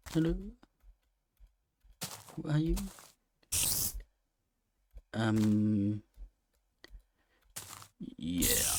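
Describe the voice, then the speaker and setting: A man's voice: medium pitch, gravelly, a little hiss or hum. A man giving casual, unscripted video game commentary, rambling and thinking aloud with hesitation. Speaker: let's play host.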